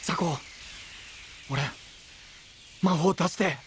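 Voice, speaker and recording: A man's voice: high voice, slow, a little hiss or hum. A man playing an earnest, encouraging young knight in a voice drama, heartfelt and pleading, with an Oita dialect from western Japan. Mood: anxious, determined. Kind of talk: anime-style voice drama acting.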